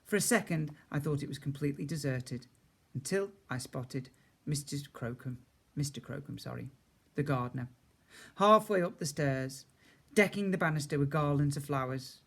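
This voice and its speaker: A man's voice: a little high, normal speed, vocal fry, fairly bright tone. A man reading a children's story aloud expressively, as a teacher does for a young class.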